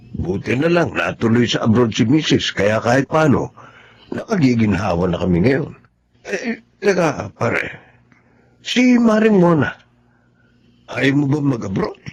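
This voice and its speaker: A husky Filipino man as an easygoing, helpful friend in a television drama. He gives advice slowly, with a lot of movement in his pitch. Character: helpful, easygoing married buddy giving advice.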